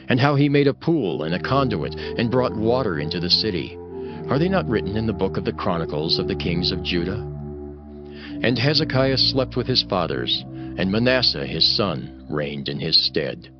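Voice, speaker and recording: A man's voice: fairly low pitch, sing-song, normal speed. A man narrating scripture from a script in a solemn, dramatic storytelling style. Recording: dull sound under loud music. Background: music.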